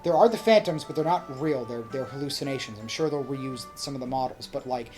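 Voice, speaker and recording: A podcast co-host with a mid-pitched voice chats like an excited fan. The delivery rambles and leans hard on points, with music clearly audible behind it. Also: a little fast. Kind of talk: casual excited fan discussion.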